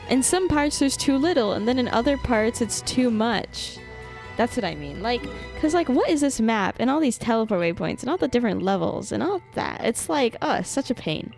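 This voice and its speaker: Animated, sarcastic woman streaming a game. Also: fairly high pitch, vocal fry. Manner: playfully sarcastic, sweary, reactive. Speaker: live streamer. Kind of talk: casual game livestream commentary, chatting with viewers. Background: game music.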